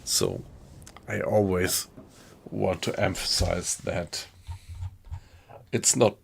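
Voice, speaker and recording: A middle-aged man from Germany with a German accent, chatting in a friendly, halting way with viewers on a live stream. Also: low voice, fairly even intonation, vocal fry, a little hiss or hum. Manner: thoughtful, friendly, halting with fillers.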